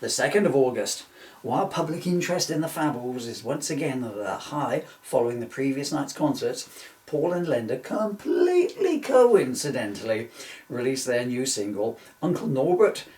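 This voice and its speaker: A man's voice: medium pitch, big pitch swings, normal speed, a little mellow. A man reading from his own book in an informal way, with jokey, self-mocking, rambling asides. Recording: echoey room, distant mic.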